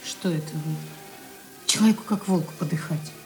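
An actress playing a quiet, caring, steadfast young woman in a Russian radio drama, answering softly and briefly. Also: fairly low pitch, normal speed, faint music behind, lightly acted. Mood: concerned.

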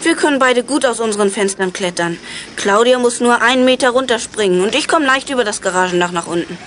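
Woman as high-voiced practical young sleuth. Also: fast talker, fairly bright tone, slight background noise, lightly acted. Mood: brisk, practical. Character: brisk, practical youngster in a detective gang. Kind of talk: children's radio play acting.

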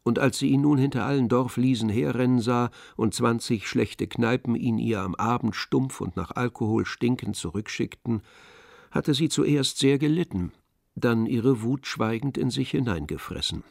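A man's voice: medium pitch, normal speed, gravelly, darkish tone, dry recording, clean studio sound. A man reading literary narration as the storyteller of a radio play.

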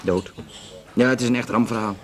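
An actor playing a courteous, flirtatious Flemish police detective in a naturalistic radio drama, speaking hesitantly with dry humour. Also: male voice, fairly low pitch, fairly even intonation, brisk, close to an everyday voice. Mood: matter-of-fact, grim. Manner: hesitant, dryly joking, conversational.

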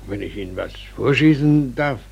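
A man's voice: medium pitch, expressive, moderate pace, vocal fry, darkish tone, breathy edge, lo-fi sound, full character voice. An actor playing a kindly, concerned policeman in a 1940s German radio play, solicitous and full of exclamations and cautions. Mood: curious, friendly. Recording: noisy 1940s radio recording.

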